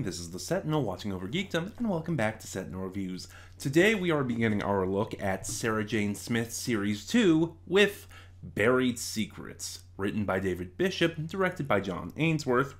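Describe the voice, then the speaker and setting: A man's voice: fairly low pitch, big pitch swings, normal speed. A man giving a casual spoken review as host, digressing and correcting himself as he goes. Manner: rambling, digressive, self-correcting.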